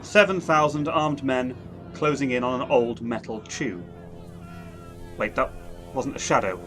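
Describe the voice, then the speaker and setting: A man's voice: mid-range voice, measured pace, noisy background, natural voice. A man playing a confident army signal captain reporting live from the field, in a 1930s-style radio drama. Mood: alarmed. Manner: assured, then rising to alarm as he reports from the front.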